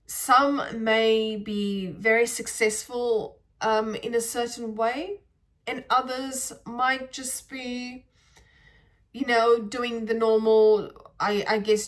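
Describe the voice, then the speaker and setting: A woman speaks in a reflective, spiritual monologue for her podcast. She meanders and pauses to find her words, restarting sentences as she thinks aloud. Her pitch sits in the middle range for a woman. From South Africa. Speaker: podcast host.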